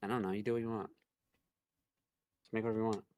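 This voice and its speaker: Man, lower pitch, casual, teasing livestream banter. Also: flat delivery, quick pace, a little mellow. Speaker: co-streamer.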